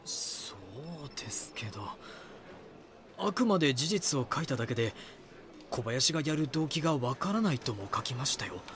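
A man's voice: medium pitch, sing-song, normal speed, a bit bright. A man playing an earnest junior reporter in an audio drama, deferential but full of doubt and questions. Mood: troubled, objecting.

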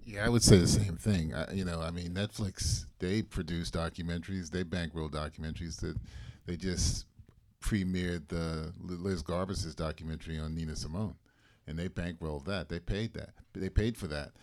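Low-voiced man panelist, emphatic. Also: fairly even intonation, moderate pace, a little mellow. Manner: emphatic, repeats key points. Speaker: panelist on documentaries. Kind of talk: panel discussion.

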